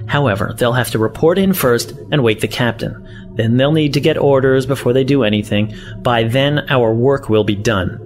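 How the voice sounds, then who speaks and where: A man acting a villainous professor in an audio drama, in a mid-pitched male voice. He is condescending and impatient, with a mock-friendly menace. Music plays softly underneath. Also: moderate pace, natural voice. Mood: brisk, scheming.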